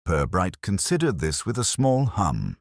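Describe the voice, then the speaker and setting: A man reading a genteel mystery story as narrator, in a measured, precise, literary manner. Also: low voice, huge pitch swings, gravelly, no room sound, clean studio sound.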